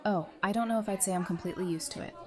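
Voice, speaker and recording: A woman's voice: medium pitch, racing, a crowd in the background, lightly acted. A woman playing a friendly, easygoing survivor in an audio drama, chatty, informal and reassuring. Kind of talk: fan audio drama acting, casual dialogue.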